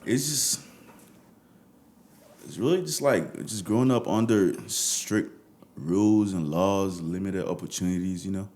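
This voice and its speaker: A man's voice: deep voice, unhurried, a little mellow. A young Nigerian man with a Nigerian accent, talking casually as a musician guest in an interview.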